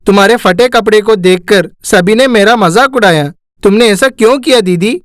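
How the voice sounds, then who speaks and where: A woman voicing a young schoolboy, an emotional younger brother, speaking earnestly in an animated moral story. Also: medium pitch, normal speed, dry recording, studio quality, lightly acted. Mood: upset.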